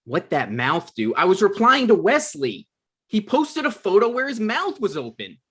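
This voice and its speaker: A man playing a deadpan, argumentative adult son and aspiring writer in a comedy script read. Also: high-pitched, moderate pace, breathy edge, exaggerated voice. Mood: pleading, defensive.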